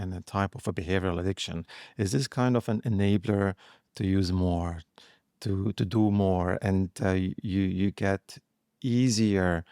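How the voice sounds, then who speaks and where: A man's voice: low voice, creaky, darkish tone, no echo, clean studio sound. A man hosting an interview, asking careful questions and murmuring attentive acknowledgments. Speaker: podcast host.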